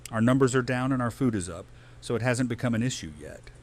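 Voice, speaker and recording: A man's voice: medium pitch, fast talker, natural voice. A man voice-acting a good-humoured, practical father and survivor in an audio drama, easygoing and matter-of-fact.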